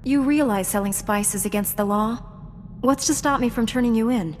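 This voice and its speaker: An actress playing a young Jedi knight heroine in a video game, speaking in a composed, measured, dryly teasing way. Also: female voice, a little high, a little hiss or hum, lightly acted. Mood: stern, questioning. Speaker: video game player character. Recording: long hall reverb, game music underneath.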